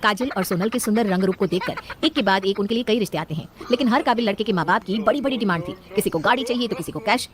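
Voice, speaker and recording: A woman's voice: mid-range voice, quick pace, few pauses, slight background noise. A woman narrating a scripted cartoon family story as its storyteller.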